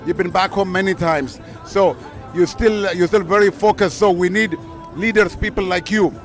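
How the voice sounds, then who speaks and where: Earnest middle-aged man, halting speech. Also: high voice, soft background music, a faint crowd. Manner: halting, repeats words, earnest. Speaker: interviewee, host's father. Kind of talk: heartfelt spontaneous speech. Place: outdoor festival grounds at night. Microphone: handheld mic.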